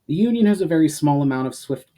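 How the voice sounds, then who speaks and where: A man's voice: a little high, lively intonation, fast talker, warm tone, pitched above the performer's own voice, close to an everyday voice. Man reading as a confident fantasy cavalry leader. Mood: reassuring.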